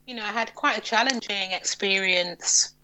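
A woman's voice: mid-range voice, normal speed, low-quality recording. A middle-aged woman from England, answering interview questions thoughtfully and often restarting her sentences. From northern England. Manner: thoughtful, with frequent restarts and a lively rising voice. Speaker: guest, psychologist and yoga teacher. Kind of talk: interview conversation.

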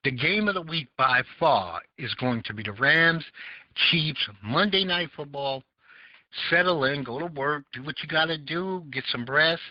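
A man's voice: fairly high pitch, unhurried, no room sound. A man with a Southern American accent hosting a casual call-in podcast, rambling and searching for words with many fillers. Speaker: podcast host. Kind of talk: casual call-in talk show chat. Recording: dull lo-fi audio.

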